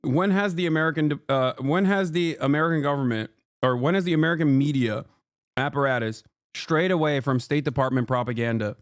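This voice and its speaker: A man's voice: fairly high pitch, brisk, no echo. Male streamer, clean dry close sound. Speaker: streamer and political commentator. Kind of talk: casual live reaction commentary.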